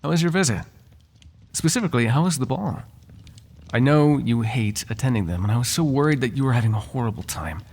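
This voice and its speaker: A man's voice: mid-range voice, animated, fairly quick, a little mellow. A man playing a devoted, flirtatious butler in an intimate ASMR roleplay, speaking in formal, genteel phrases with playful teasing. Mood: concerned, guilty. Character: devoted, flirtatious, gossip-loving male butler to a noble.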